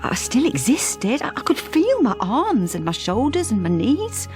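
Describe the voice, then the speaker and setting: An actress playing a troubled middle-aged British wife who speaks hesitantly and confidingly in a radio drama. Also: female voice, high voice, huge pitch swings, unhurried, a little mellow, faint music behind, full character voice. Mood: frightened. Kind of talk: intimate first-person radio drama narration and dialogue.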